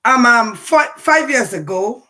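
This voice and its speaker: A hoarse woman from Sierra Leone with a Sierra Leonean accent, talking casually on a livestream and repeating phrases for emphasis. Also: on the high side, expressive, measured pace, bright, forceful tone. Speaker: livestream commentator.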